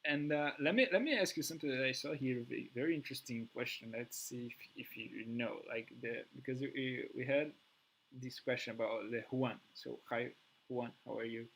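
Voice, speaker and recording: Bright-toned man hosting a live chat. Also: mid-range voice, normal speed, low-quality recording. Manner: hesitant with many restarts and fillers. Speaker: host. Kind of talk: live online Q&A. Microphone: video call.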